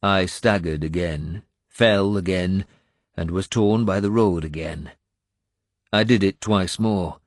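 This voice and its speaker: A man narrating first-person historical fiction as a scripted audiobook, with measured, dramatic storytelling. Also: low-pitched, dry recording, clean studio sound.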